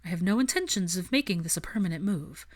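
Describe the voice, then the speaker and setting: A woman's voice: lowish voice, lively intonation, fast talker. A woman voicing a determined, frank young witch in an audiobook-style story, articulate and earnest, with a teasing edge. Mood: frank, decided.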